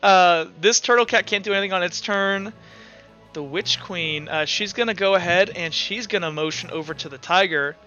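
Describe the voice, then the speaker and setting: A man with a fairly high, very bright voice runs a tabletop game in loose, improvised narration. The recording is a little dull and lo-fi, with faint music behind him. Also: moderate pace. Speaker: game master.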